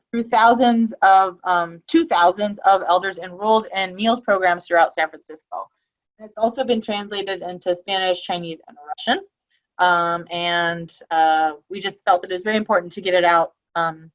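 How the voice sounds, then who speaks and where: A woman's voice: mid-range voice, fairly bright tone, muffled recording. A woman giving a webinar presentation on a video call, talking casually with frequent ums and restarts. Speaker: webinar presenter on elder abuse prevention.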